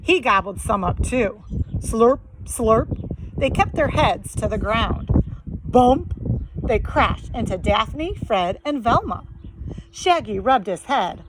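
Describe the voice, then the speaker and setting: A woman reads a children's story like a teacher to young pupils. Her fairly high voice is very animated and unhurried, with expressive, deliberate phrasing and faint background noise. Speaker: second grade teacher reading aloud.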